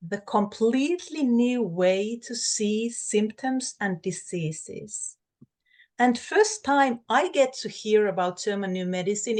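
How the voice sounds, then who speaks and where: A woman's voice: mid-range voice, a little mellow. A Finnish woman whose first language is Finnish, hosting an interview and introducing her guest in English with careful phrasing. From Finland. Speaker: host.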